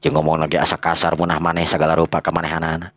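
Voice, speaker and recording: A man's voice: a bass voice, monotone, racing, fairly bright tone, no room sound. A man with a Sundanese accent narrating a folktale dramatically, breaking phrases up for suspense. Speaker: storyteller.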